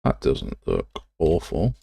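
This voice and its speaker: A man's voice: rumbling low, huge pitch swings, takes it slowly, gravelly, warm tone, husky, dry recording, studio quality. A man giving a casual live tutorial, talking to himself as he works and sounding self-deprecating. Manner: muttering to himself while working. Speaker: game development livestreamer.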